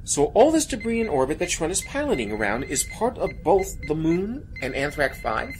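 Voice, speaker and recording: An inquisitive female starship officer in a sci-fi audio play, acted by a woman. Her questioning voice is on the low side and moves about freely. Soft music runs underneath. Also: sing-song, normal speed. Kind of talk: science fiction audio drama acting.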